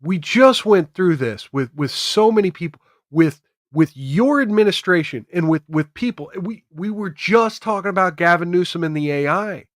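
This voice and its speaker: A man's voice: high voice, expressive, moderate pace, hardly any pauses, no room sound, studio quality. An American man hosting a political commentary stream, speaking emphatically and repeating phrases for effect. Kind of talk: opinionated political commentary to camera.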